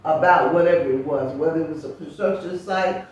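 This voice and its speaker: A woman's voice: low-pitched, a little fast, lo-fi sound. Older woman telling stories, echoey distant mic. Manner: storytelling, lively recollection. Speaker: guest, author. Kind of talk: reminiscing interview talk.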